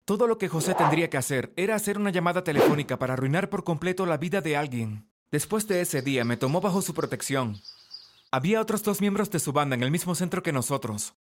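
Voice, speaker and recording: A man's voice: on the high side, animated, quick pace. A man reading a scripted first-person story as narrator.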